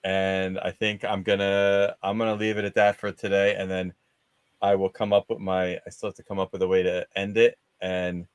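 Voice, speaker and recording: A man's voice: sits low, flat delivery, moderate pace, smooth, dry recording. A man hosting a movie discussion show on a video call, chatting casually. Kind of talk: casual chat.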